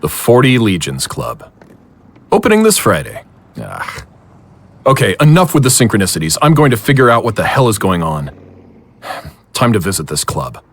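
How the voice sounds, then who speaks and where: A man's voice: on the low side, wildly swooping pitch, moderate pace, pauses often, husky, exaggerated voice. A man acting a weary but determined investigator in an audio drama, with a wry manner. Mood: exasperated. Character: weary, determined male investigator of strange happenings.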